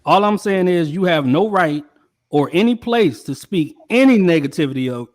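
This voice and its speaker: Caller guy, pretty high voice, blunt, keeps insisting, says my brother a lot. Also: lively intonation, normal speed. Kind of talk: heated live debate.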